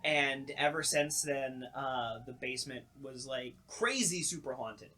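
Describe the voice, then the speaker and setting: A man's voice: mid-range voice, measured pace, pushed and bright, slight background noise. A young man co-hosting a podcast, telling stories casually and informally and acting out reactions as he goes. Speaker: podcast co-host. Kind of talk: casual storytelling chat.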